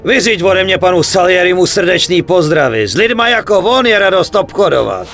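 Friendly small-time crook, really high lively voice, game cutscene. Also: male voice. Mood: genial. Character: friendly, smooth-talking small-time bootlegger. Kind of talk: video game cutscene acting in Czech.

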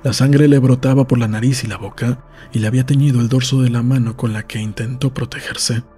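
A man's voice: mid-range voice, steady tone, a little fast, mellow. A man reading a novel aloud as scripted audiobook narration for a podcast. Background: faint music.

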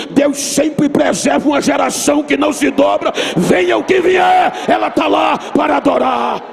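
A man's voice: extremely high pitch, gravelly, darkish tone. An older Brazilian man in his sixties preaching an emphatic, oratorical sermon at a podium microphone to a large congregation. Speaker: pastor preaching at a youth Bible seminar. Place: large church auditorium. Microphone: microphone on a podium stand. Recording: long hall reverb from PA system.

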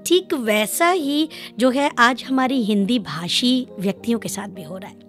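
A woman's voice: a little high, animated, measured pace, faint music behind. A young woman interviewing formally and politely on a close studio microphone. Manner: polite, deferential. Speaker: radio interviewer. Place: small acoustically treated radio studio. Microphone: close studio microphone on a desk stand.

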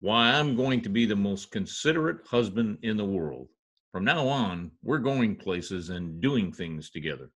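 A man's voice: fairly low pitch, lively intonation, unhurried, fairly bright tone, husky, heavily acted. An older man playing a pompous, exasperated radio star with a theatrical manner in old-time radio comedy. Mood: fretful, weary. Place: indoor home office. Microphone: computer mic on video call.

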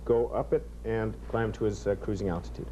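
A man's voice: lowish voice, steady tone, fairly quick, mellow. A man working as an air traffic controller, giving technical instructions and explanations in a control room. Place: control room full of consoles. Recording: dull tape, faint room noise.

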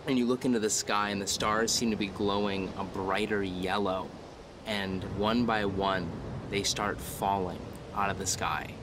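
A man narrating a tabletop game as game master, descriptive, measured and dramatic. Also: lowish voice, noisy background.

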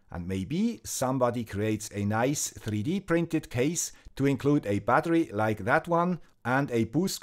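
A man with a Swiss German accent calmly narrating a scripted electronics tutorial, explaining each step in order. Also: medium pitch. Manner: calm, methodical, step-by-step explaining. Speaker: electronics video host.

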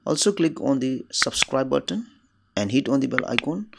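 A man's voice: mid-range voice, animated, normal speed, creaky, noisy background. Nepali-native guy doing a phone how-to, plain repeated steps, mid voice. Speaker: tech tutorial channel host. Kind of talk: step-by-step phone tutorial.

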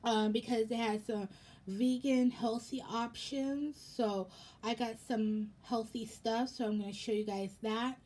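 A young American woman chatting casually for a haul vlog in a rambling, halting way, with a slightly raspy voice. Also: a little high, steady tone, darkish tone. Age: young adult. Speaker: vlogger. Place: indoors in a home room.

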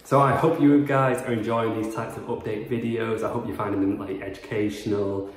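A man's voice: on the low side, fairly even intonation, a little fast. A young man in his twenties giving a chatty, rambling house-tour vlog with lots of fillers, recorded on a phone. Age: 20s. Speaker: property renovation vlogger. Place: empty house under renovation, indoors. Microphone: phone camera, handheld then propped up. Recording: echoey bare rooms.

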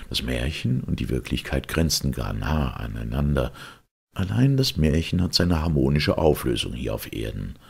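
A man reading a classic literary tale as an audiobook narrator, in a solemn, measured storytelling manner. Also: rumbling low, creaky, breathy edge.

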